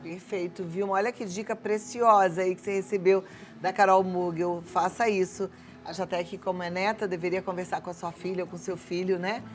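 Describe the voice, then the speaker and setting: A woman's voice: fairly low pitch, fairly even intonation, moderate pace, faint music behind. A middle-aged woman with a Brazilian accent, hosting a live talk show in an effusive, chatty way. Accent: Brazilian Portuguese, softened after decades in São Paulo. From Rio de Janeiro, Brazil. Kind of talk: casual live hosting, reading viewer questions.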